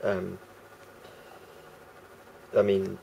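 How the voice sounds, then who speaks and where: A man with a croaky voice talking casually to camera as a vlogger, rambling and hesitant with many fillers and restarts. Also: sits low, monotone, drawn-out words, lo-fi sound, a little hiss or hum.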